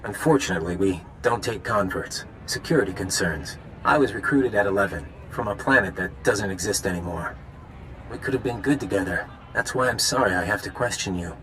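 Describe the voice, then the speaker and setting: A man playing a suave, idealistic conspirator villain in a video game, smooth and theatrical in his talk. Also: lowish voice, animated, a little fast, fairly bright tone, slight background noise, poor audio. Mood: regretful menace. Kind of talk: video game dramatic acting.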